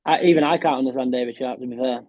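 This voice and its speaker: A man's voice: medium pitch, rapid-fire, no echo. A man with a Yorkshire accent, a professional darts player, chatting modestly as a guest on a podcast, with lots of restarts. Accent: Yorkshire English. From Yorkshire, England. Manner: chatty, modest, frequent restarts and "were" for "was". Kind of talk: casual podcast interview. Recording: tinny phone-like line, dry.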